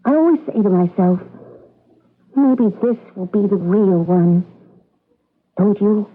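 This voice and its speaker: A woman's voice: mid-range voice, expressive, slow. An actress playing a naive, earnest, compliant female citizen of a regimented future in a radio drama, with a questioning manner. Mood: wistful. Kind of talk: 1980s radio drama acting. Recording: thin phone-like old radio recording.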